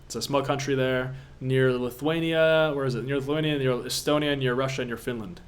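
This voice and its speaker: A man's voice: medium pitch, fairly even intonation, fairly quick. A young man from Latvia, an online business coach answering viewer questions on a live stream, emphatic and pushy, repeating himself for effect.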